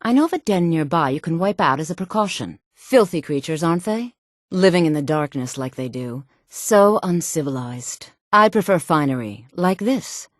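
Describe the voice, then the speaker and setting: A self-assured fantasy game woman with a low, smooth, sultry voice. She speaks with poise and a teasing, condescending air, flirtatious and sure of her own worth. The recording is dry, with no room sound. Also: expressive, moderate pace, no echo. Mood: haughty. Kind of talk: fantasy video game character dialogue.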